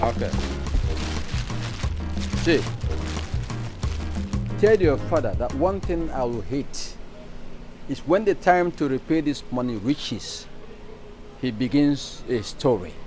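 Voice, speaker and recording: A man's voice: medium pitch, animated, takes it slowly, long pauses, darkish tone, soft background music. A man with a Nigerian accent playing a stern, self-important moneylender in a film drama. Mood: stern, condescending.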